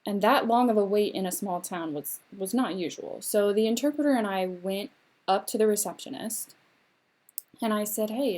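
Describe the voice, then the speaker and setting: Young mother telling a story in measured phrases. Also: medium pitch, dark tone. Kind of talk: spoken interpretation of a signed personal story.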